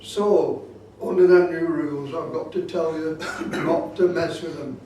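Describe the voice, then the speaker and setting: High-pitched man presenting in a very echoey room. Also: steady tone, measured pace, dark tone, a little hiss or hum. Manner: repeats points insistently, explanatory. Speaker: radio club presenter giving a talk. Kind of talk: informal hands-on demonstration talk with safety warnings. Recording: very echoey room, distant microphone.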